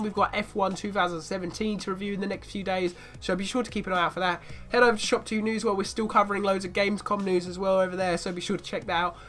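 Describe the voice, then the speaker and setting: A man's voice: high-pitched, fairly bright tone. A man with a bad cold giving a casual spoken review of a video game, chatty and fond of repeating "really, really".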